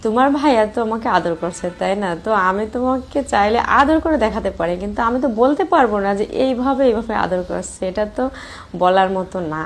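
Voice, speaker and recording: Woman acting fast-talking Bangladeshi flirty sister-in-law, noisy recording. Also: on the high side, expressive. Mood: coy, teasing. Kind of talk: amateur romantic phone-call audio drama. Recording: clear background noise.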